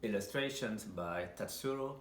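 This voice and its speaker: A man reading a children's picture book aloud in an expressive, deliberate storytelling manner with dramatic pauses. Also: lowish voice. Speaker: storybook reader. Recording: roomy echo with faint background noise.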